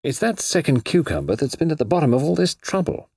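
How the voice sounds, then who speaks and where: A man voicing a nonchalant, self-assured gentleman writer in an audiobook, urbane, chatty and a little wheedling. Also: fairly high pitch, very animated, quick pace, creaky, slightly rough, dry recording, clean studio sound, voice pushed up, heavily acted. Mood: rueful. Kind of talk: audiobook character voice.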